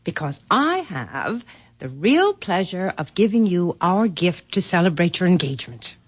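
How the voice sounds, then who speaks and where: An actress playing a composed middle-aged mother in a radio drama, genteel, measured and authoritative. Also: fairly low pitch, big pitch swings, slightly rough, full character voice. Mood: gracious. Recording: muffled vintage radio drama recording.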